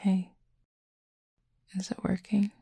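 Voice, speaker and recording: Woman voicing a husky, flirty werewolf, deeply gravelly. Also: level tone, dark tone, full character voice. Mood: flirty teasing. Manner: dry, teasing, unhurried, wordy. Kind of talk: intimate audio roleplay, late-night chat.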